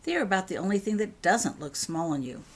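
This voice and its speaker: A woman's voice: mid-range voice, lively intonation, fast talker, breathy edge. A woman voicing a kindly, practical, reassuring mother in a storybook reading.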